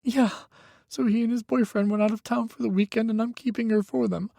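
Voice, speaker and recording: A man's voice: very high voice, fairly even intonation, fairly quick, creaky, mellow, no room sound, voice pushed up, full character voice. A man voicing a timid, frightened young man who sounds tearful and shaky. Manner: trembling, tearful.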